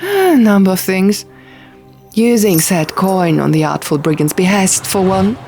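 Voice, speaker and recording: A merry young king voiced by a woman spins tales in a storybook reading, casual and a little tipsy. The delivery is slow and slightly gravelly, with soft music underneath. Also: mid-range voice, lively intonation. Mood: amused storytelling.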